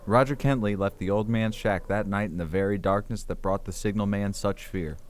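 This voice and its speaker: A man's voice: fairly low pitch, fairly even intonation, fairly quick, a little hiss or hum. A man narrating an audio drama in a scripted storytelling style.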